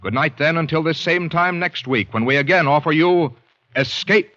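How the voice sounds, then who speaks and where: A man's voice: a little high, moderate pace, a crowd in the background. A man with an American accent, formally reading credits as a 1940s radio announcer in a polished manner. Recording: muffled vintage radio recording.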